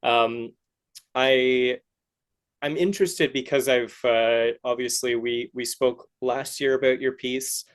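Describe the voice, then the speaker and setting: Halting man hosting a podcast. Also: mid-range voice, steady tone. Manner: halting, circling back to rephrase questions. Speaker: podcast host interviewing artists. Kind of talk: casual interview chat.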